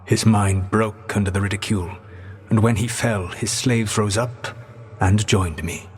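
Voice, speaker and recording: An actor playing a grave, regretful ancient elven god in a video game, speaking in a measured, formal, solemn way. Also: male voice, fairly low pitch, steady tone, a little mellow, husky. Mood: grim, haunted. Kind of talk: dramatic video game character dialogue. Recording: long echoing reverb on the voice.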